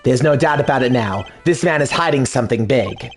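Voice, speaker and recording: A man voicing a composed, formal male prosecutor who questions a suspect in precise, measured phrases. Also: mid-range voice, animated, faint music behind, pitched below the performer's own voice, close to an everyday voice. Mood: probing. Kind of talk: fan dub of a video game.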